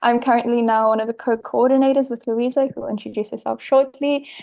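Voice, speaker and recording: Young woman host, around twenty, higher voice with vocal fry, earnest and enthusiastic. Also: steady tone, quick pace, a little mellow. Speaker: program co-coordinator. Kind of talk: hosting an online orientation. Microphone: video call.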